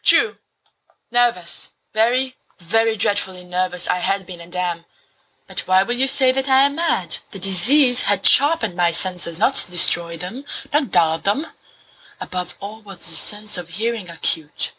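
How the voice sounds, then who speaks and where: A woman voicing a young male wizard student who reads a horror story aloud to his friends, slow and hushed at times. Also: medium pitch, animated, slightly rough, exaggerated voice, low-quality recording. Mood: dramatic. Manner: slow, hushed dramatic reading. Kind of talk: audiobook fan fiction reading.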